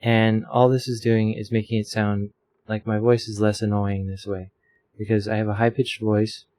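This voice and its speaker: A man's voice: fairly low pitch, little pitch movement, normal speed, darkish tone. A man casually explaining his audio filter settings from a home desk microphone, rambling with lots of fillers. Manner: rambling, self-deprecating, many fillers. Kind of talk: casual tutorial. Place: home room with a fan running.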